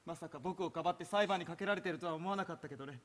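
A man's voice: fairly high pitch, very fast, fairly bright tone. An actor playing a desperate, bitter young man holding a hostage in a stage play. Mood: bitter, anguished. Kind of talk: theatrical stage acting in Japanese. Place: theater stage.

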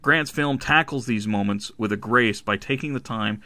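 A man reading a scripted video essay as narrator and reviewer, in a measured, analytical manner. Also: mid-range voice, pushed and bright, dry recording.

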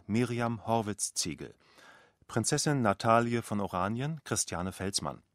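Brief: male radio announcer, lowish pitch, formal reading, very close dry sound. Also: no room sound. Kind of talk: formal scripted cast announcement.

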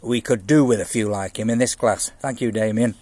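A man voicing a patient but weary male art teacher in a storybook, hesitant and dryly exasperated, with a husky voice. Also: fairly low pitch, fairly quick, a little mellow, no echo, close to an everyday voice.

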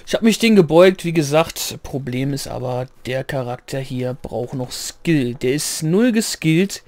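A man with a slightly hoarse voice giving rambling, chatty commentary while playing a game, clearing his throat now and then. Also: medium pitch, lively intonation, measured pace, darkish tone, dry recording. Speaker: let's play gamer. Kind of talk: casual gameplay commentary.

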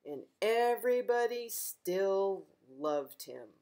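A middle-aged woman reading a picture book aloud to young children, gentle and expressive, as a librarian at home. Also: medium pitch, slow. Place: living room at home, indoors.

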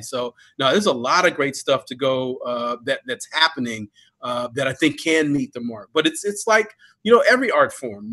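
A man co-hosting a panel discussion over a video call from a room at home, talking in an animated way. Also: on the high side. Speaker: panel host, history professor.

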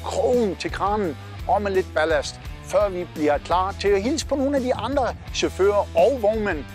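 A cheerful, chatty man in his forties with a Southern Jutland Danish accent talks straight to camera. His voice sits fairly high for a man. He is recorded on a lapel mic outdoors, with faint music underneath. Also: darkish tone. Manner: upbeat, chatty, pointing while talking. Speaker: trucker vlog host. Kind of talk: casual vlog narration to camera. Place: outdoors by parked trucks.

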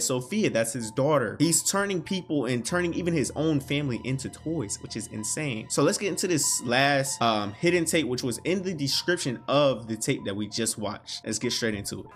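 A man in his twenties giving casual, slangy, exclamatory reaction commentary as a video host. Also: on the high side. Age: 20s. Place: small bedroom, indoors.

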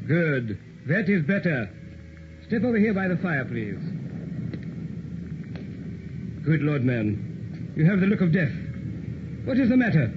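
An actor playing a blustering, self-important police inspector with pompous, formal phrasing in an old-time radio horror drama. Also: male voice, fairly high pitch. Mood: commanding, impatient. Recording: tinny, noisy old radio recording.

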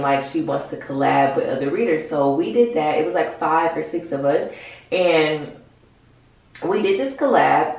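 A woman in her twenties with an American accent, giving casual, frank, slangy advice to camera in a vlog. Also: low-pitched. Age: 20s. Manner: informal, slangy, frank, sprinkled with swearing. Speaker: vlogger, small business owner. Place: bathroom, indoors. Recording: very echoey small tiled room.

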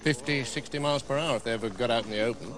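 An actor playing a grim veteran game warden, speaking gravely and emphatically in a film scene. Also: male voice, medium pitch, very fast, close to an everyday voice. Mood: grave warning. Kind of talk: film dialogue in a game cutscene. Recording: dramatic music behind film dialogue.

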